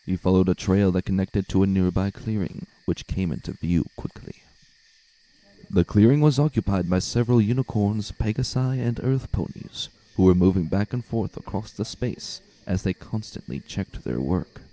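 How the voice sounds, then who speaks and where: A man's voice: sits low, normal speed, mellow, rough recording. A man giving a dramatic storybook narration as a scripted reader. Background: faint music.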